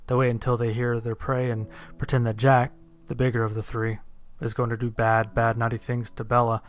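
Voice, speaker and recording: A man narrating a first-person horror story from a script in a measured, matter-of-fact way. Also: medium pitch.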